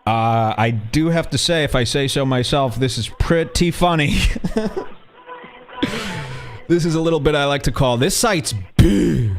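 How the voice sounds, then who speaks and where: A man hosting a comedy radio show, setting up a prank call with mocking commentary and drawn-out emphasis on words. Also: on the high side, faint music behind.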